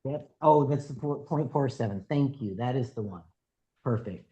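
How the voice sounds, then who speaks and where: A council member leading the discussion, confirming points in brisk, quick replies. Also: medium pitch, mellow, breathy edge, rough recording.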